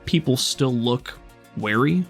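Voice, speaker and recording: A man running a tabletop role-playing game as game master, narrating scenes in a relaxed, descriptive way. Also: medium pitch, takes it slowly, husky, faint music behind.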